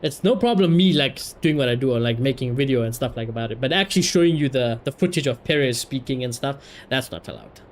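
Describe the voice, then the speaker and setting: A man giving casual livestream commentary in an animated, slangy, sweary way. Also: medium pitch, fast, few pauses. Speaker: live streamer.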